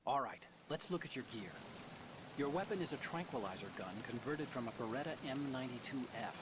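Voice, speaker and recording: A man's voice: mid-range voice, expressive, a little fast, natural voice. Male game techie, acted, mid-range voice, earnest, brainy, friendly, explanatory briefing, very noisy rainy backdrop. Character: earnest, brainy male tech support partner of an agent. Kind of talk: dramatic video game voice acting, mission briefing.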